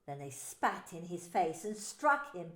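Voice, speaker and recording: Woman minister, scripture narration, slow pace, echoey room. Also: lowish voice. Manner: expressive, deliberate.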